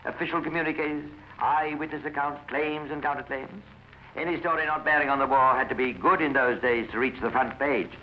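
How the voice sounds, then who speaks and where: A British man narrating a scripted 1950s radio crime story in a formal, precise storytelling manner. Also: on the high side. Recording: muffled, noisy old radio recording.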